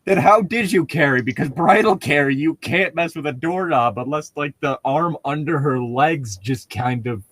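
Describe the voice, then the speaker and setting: A man's voice: fairly high pitch, moderate pace, hardly any pauses, fairly bright tone, dry recording. A man reading fan fiction aloud in a casual group, breaking off for sarcastic, nitpicking comments.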